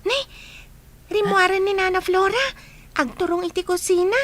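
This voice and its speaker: A woman's voice: very high voice, fairly even intonation, normal speed, a bit bright. A woman playing an affectionate, eager young girl in a radio drama, with an Ilocano accent.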